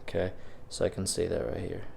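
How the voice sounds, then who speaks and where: Deep-voiced, congested man teaching. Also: flat delivery, a little fast, creaky, a little mellow, a little hiss or hum. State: sick, congested and sniffly. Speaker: physics teacher. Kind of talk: informal video lecture walking through practice problems.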